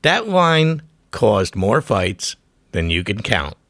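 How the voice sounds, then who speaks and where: A man delivers scripted documentary narration in a gravelly, measured voice. His pitch moves around in an animated way as he hits short, punchy, profane phrases. The sound is dry. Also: no room sound.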